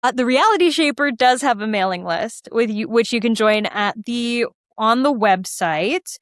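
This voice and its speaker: Lady guesting on a podcast, animated and chatty, crisp voice, no room echo. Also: on the high side, normal speed, clean studio sound. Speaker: podcast guest, musical theater writer and performer. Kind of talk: casual interview chat.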